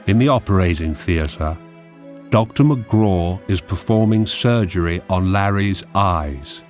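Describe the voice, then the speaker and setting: Deep, slow male drama narrator. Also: lively intonation, a little mellow, soft background music. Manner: measured, descriptive. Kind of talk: scripted screenplay narration in present tense.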